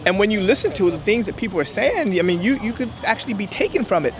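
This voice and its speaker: A man's voice: high-pitched, animated, quick pace. A man whose first language is Hebrew, explaining history emphatically in an interview outdoors. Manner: emphatic, lecturing, with many "right?" checks. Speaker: interview guest.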